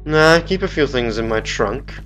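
A man's voice: medium pitch, lively intonation, normal speed, a bit bright, low-quality recording. A young man with a cold, chatting casually through a let's play of a game, swearing now and then. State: bunged up with a cold. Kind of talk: casual gameplay commentary. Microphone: desktop microphone. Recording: game music playing underneath.

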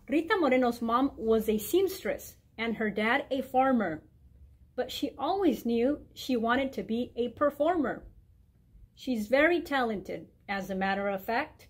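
Middle aged woman, high voice, reading a kids book out loud nice n deliberate. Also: husky. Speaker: guest reader, mayor. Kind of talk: reading a children's picture book aloud. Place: indoor library room with bookshelves.

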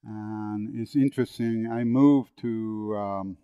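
A man's voice: on the low side, slow, warm tone, clean tone, dry recording, studio quality. A man in his seventies from northern Iran with a Persian accent, telling stories as a guest on an interview podcast. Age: 70s. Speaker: guest, agricultural engineer.

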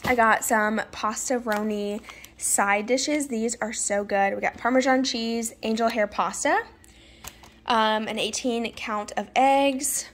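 A woman's voice: a little high, steady tone, slow, a bit bright. A woman, chatting casually through a grocery haul in her home kitchen and laughing often. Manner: chatty, laughs often, talks to viewers as y'all. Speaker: vlogger.

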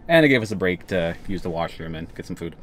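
A man's voice: low voice, lively intonation, fast talker, background noise. A young man from Canada talking casually to the camera in a road trip vlog, rambling with restarts. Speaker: vlogger.

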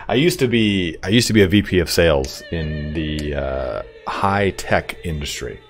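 A low-voiced man in his thirties chats live with his viewers over a strategy game. His delivery is loud, excitable and crude, with his pitch leaping up and down constantly. Soft game music runs quietly behind him. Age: 30s. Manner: loud, excitable and profane, quick swings in pitch. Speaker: YouTube streamer. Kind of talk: live-stream chat while playing a strategy game.

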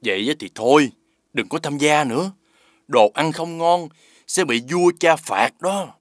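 An actor playing a sly, sneering sidekick prince in an animated fairy tale, with a dismissive manner. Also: male voice, on the high side, measured pace, no room sound, studio quality, natural voice. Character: sneering prince, fawning sidekick to his scheming brother.

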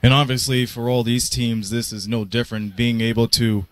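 A man's voice: medium pitch, little pitch movement, moderate pace. A man in his twenties hosting a post-game recap as a sports reporter, with a showy sportscaster delivery. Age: 20s. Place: indoor basketball arena, courtside. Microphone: handheld microphone close to mouth.

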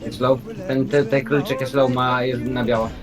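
A man's voice: medium pitch, level tone, moderate pace. A young man in casual, slangy team chat as he plays a video game with his squad. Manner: slangy, urgent callouts. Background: music.

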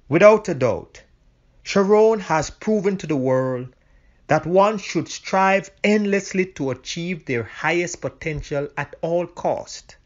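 A man's voice: a little high, muffled recording. A man reading a formal scripted introduction. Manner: slow, formal announcing.

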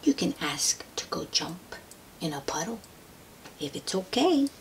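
A woman with a fairly low voice reads a picture book aloud at a very slow pace. She is gentle and expressive, stretching words and putting questions to a young listener. There is noticeable noise behind her. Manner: gentle, drawn-out, expressive, addressing a child. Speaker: children's book read-aloud host.

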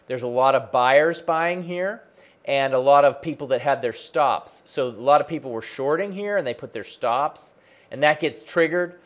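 Man in his forties, mid-pitched, steady teacher walking viewers through a chart; close desk mic, small home office. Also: moderate pace. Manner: plain explanatory, pointing at the chart as he talks. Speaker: trading educator. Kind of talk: screen-share chart tutorial. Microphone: close desk mic, webcam framing.

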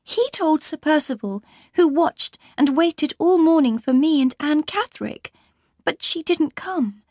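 A woman voicing a frightened, indignant young gentlewoman in an audiobook, exclaiming in distress. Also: sits high, lively intonation, unhurried, no echo, studio quality, voice pushed up. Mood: anxious, urgent.

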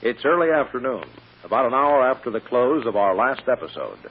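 A man's voice: mid-range voice, very animated, brisk. A man delivering dramatic narration as the announcer of an old-time radio serial. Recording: tinny, narrow-band, noisy old radio recording.

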